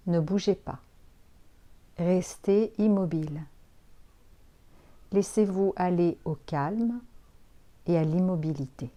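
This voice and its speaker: Slow, mellow woman guiding relaxation. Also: lowish voice. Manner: calm, unhurried, soothing instructions with pauses. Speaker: yoga nidra instructor. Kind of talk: guided relaxation meditation.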